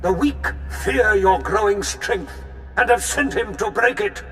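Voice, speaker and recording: A man's voice: sits high, animated, measured pace, a bit bright, soft background music. An actor playing a fiery, pompous male cult leader in a video game, preaching grandly. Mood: solemn, preaching. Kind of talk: video game speech acting.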